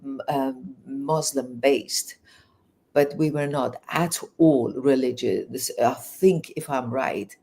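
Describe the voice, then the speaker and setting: A middle-aged woman from Iran, a native Farsi speaker whose accent mixes Iranian and English tones, telling her life story as an interview guest in an earnest, animated way. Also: rumbling low, slow, breathy edge. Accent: Iranian, learned English in England.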